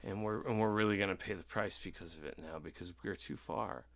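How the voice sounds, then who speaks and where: A podcast host with a low voice talks casually and informally. The delivery is opinionated and emphatic. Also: a little fast, a bit bright.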